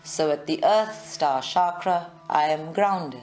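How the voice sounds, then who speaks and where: A woman guiding a live meditation in a measured, soothing way, with long pauses. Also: low voice, a little mellow, soft background music. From South Africa. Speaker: meditation guide. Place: group meditation session at a centre, recorded live.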